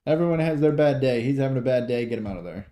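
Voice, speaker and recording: Mellow American man commentating football casually. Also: mid-range voice, expressive, quick pace. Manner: rambling, informal, sweary, talks sports betting slang. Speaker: amateur livestream football play-by-play commentator. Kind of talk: casual play-by-play commentary mixed with chat.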